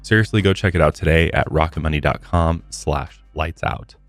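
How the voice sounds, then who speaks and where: A man's voice: a bass voice, moderate pace, dry recording, faint music behind. A man hosting a podcast, talking in a casual, conversational way. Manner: conversational, informal. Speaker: podcast host. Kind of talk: casual podcast commentary and sponsor read.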